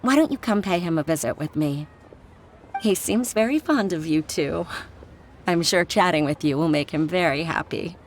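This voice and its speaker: A woman's voice: fairly low pitch, animated, moderate pace. An actress playing a polite, kindly female attendant in a fantasy video game. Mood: gentle invitation. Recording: voice over clear ambient noise.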